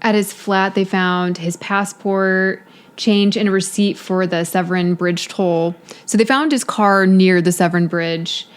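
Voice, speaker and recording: A woman's voice: medium pitch, steady tone, measured pace, clear, resonant tone. A woman co-hosting a podcast, telling a case in casual chat with a lot of "you know" fillers. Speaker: podcast co-host.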